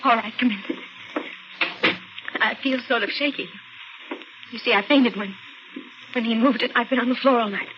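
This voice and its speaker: Frightened young woman played by an actress, high voice, halting and stammering, 1940s radio drama, muffled with music. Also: background noise, natural voice. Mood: shaken. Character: frightened, shaky young woman insisting she saw a corpse.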